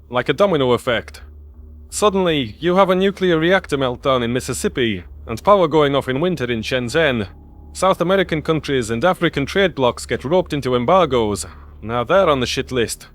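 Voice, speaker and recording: A man's voice: fairly high pitch, voice pushed up, lightly acted. A man voicing an opinionated young human crew member who argues colloquially in a science fiction audio story. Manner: argumentative, colloquial.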